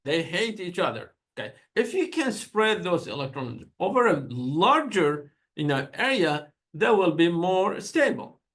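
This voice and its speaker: A man's voice: high voice, sing-song, unhurried, few pauses, fairly bright tone. A man whose first language is Persian, giving a university chemistry lecture in a chatty way, with many fillers and small grammar slips.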